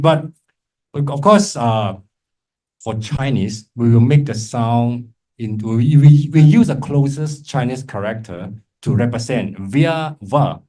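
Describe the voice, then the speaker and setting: A male Buddhist teacher leads an interactive class in an informal, encouraging way. He explains step by step and keeps checking in with little tag questions, at an average pitch for a man. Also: darkish tone. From Malaysia. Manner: encouraging, informal, frequent check-in tags like "right?" and "okay?". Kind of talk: interactive lecture to a class with remote listeners.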